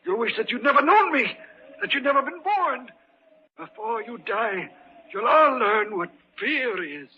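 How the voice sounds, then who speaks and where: A man's voice: very high-pitched, lively intonation, measured pace. An actor playing a bitter, menacing old man on his deathbed in an old-time radio horror drama, scornful and domineering in manner. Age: older. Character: bitter, menacing dying old rich uncle. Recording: noisy, dull old radio recording.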